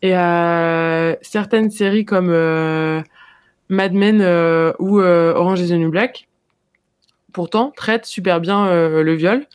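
A young adult in casual discussion, talking hesitantly with many pauses and filler sounds. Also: medium pitch, smooth, no echo, studio quality.

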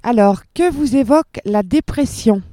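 A woman's voice: fairly high pitch, lively intonation, normal speed, warm tone, no room sound. A woman working as an interviewer, putting short prepared questions to people.

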